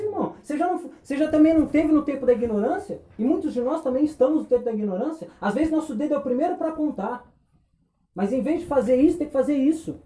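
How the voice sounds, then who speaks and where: Man, 20s, preacher, rhetorical questions, warm tone, echoey room. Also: extremely high pitch. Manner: impassioned, rhetorical questions, swings between loud and hushed. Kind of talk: sermon.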